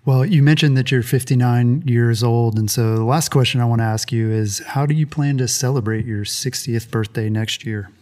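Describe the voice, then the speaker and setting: A man's voice: mid-range voice, fairly even intonation, moderate pace, hardly any pauses, a little mellow. A man hosting a podcast, asking short questions and giving brief agreement. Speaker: podcast host, interviewer. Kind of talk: podcast interview.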